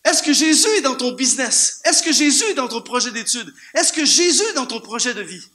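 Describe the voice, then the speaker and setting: A man with a Canadian French accent preaching an animated sermon to a church congregation, firing off rhetorical questions and repeating words for emphasis. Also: very high-pitched, brisk. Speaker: guest preacher. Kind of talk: lively sermon.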